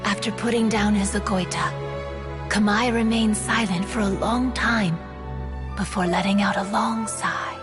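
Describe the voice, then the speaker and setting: A woman's voice: mid-range voice, steady tone, music in the background, natural voice. A woman voicing a wise, sorrowful female yokai spirit, telling a tale solemnly in a game cutscene. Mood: grave. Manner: slow, solemn, melancholy telling.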